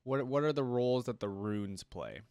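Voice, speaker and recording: A man's voice: mid-range voice, expressive, moderate pace, a little mellow, clear, resonant tone. A man giving casual reaction commentary as a video host, curious and often musing aloud.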